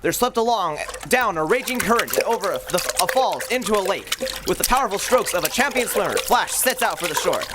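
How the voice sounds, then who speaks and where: Booming theatrical radio narrator, man. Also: sing-song, fairly quick. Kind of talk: dramatic radio serial narration. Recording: live stage recording with background noise.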